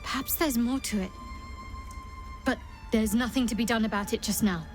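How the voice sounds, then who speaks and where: Game role: actress as secretive dark-goddess cleric, young woman, measured and reserved, music underneath. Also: fairly high pitch, close to an everyday voice. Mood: guarded, pensive. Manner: measured, reserved, faintly wry. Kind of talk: video game dialogue.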